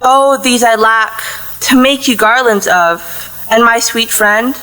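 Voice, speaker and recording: A woman acting as an anxious, possessive mother in an audio play, with a theatrical, deliberate delivery. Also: a little high, sharp tone, clear, resonant tone, low-quality recording. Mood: wistful, reciting. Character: anxious, possessive plant-tending mother of a teenager.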